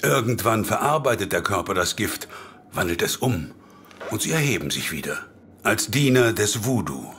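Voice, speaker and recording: A man's voice: lowish voice, very animated, moderate pace, fairly bright tone, slight background noise. An actor playing a hard-boiled, cynical male American investigator, knowing and wary, in a German audio drama. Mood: grave, explanatory. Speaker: supporting actor. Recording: faint music behind the voice.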